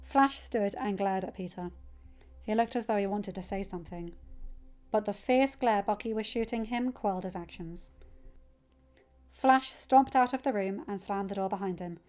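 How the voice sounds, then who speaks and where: A woman's voice: mid-range voice, normal speed, darkish tone, lo-fi sound. A woman narrating fan fiction and chatting casually to listeners as the host, recorded in a room at home.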